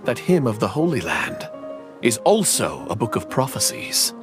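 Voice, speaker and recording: Earnest, animated, devoted would-be knight. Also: male voice, mid-range voice, moderate pace, creaky, over music. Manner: solemn, reverent, theatrical.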